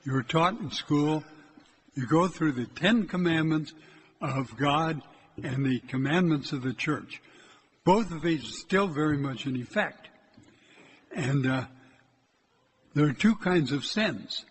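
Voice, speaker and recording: An older man, a priest, giving a loud, plain-spoken exhortation from the pulpit of a church. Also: slow, long pauses, slight background noise. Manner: loud, hard time keeping his voice low. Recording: echoey church from a distance.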